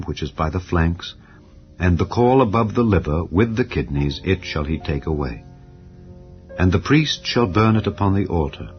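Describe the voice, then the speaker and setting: A man with a very low voice reads scripture slowly and solemnly. The audio is a little dull and muffled, with soft music drifting underneath. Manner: slow, measured, solemn. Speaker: Bible narrator. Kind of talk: dramatized scripture reading. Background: soft ambient music.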